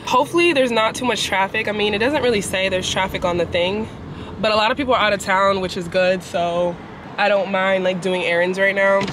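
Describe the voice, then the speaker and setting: A young woman vlogging from her car, chatty and animated, sounding nasal after a cold. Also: medium pitch, brisk. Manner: chatty, animated, swears casually. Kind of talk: casual vlog chat. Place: parked car at a traffic light. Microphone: camera on the dashboard. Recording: car with street noise behind.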